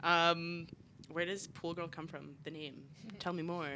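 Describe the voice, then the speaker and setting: A woman interviewing a band, upbeat with quick, eager reactions. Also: lowish voice, level tone, noisy background. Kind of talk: casual interview.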